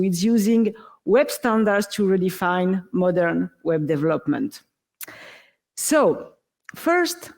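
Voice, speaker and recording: A woman's voice: mid-range voice, a little mellow. A woman from France with a French accent, giving an upbeat, explanatory tech talk on a large conference stage through a stage microphone. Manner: upbeat, explanatory, playful comparisons. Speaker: conference speaker.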